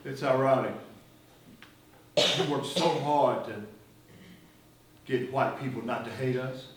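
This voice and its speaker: An actor playing a weary, outspoken activist in a stage play, brooding and intense. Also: male voice, medium pitch, fairly even intonation, takes it slowly, close to an everyday voice. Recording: echoey, distant stage recording, faint hiss.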